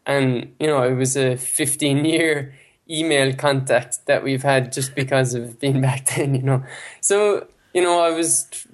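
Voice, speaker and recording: A man's voice: mid-range voice, a little slow. Chatty Irish man in his thirties. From Ireland (County Clare). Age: 30s. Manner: chatty, many fillers, laughs easily. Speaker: guest, language-learning founder. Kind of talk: casual interview talk. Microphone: Skype call.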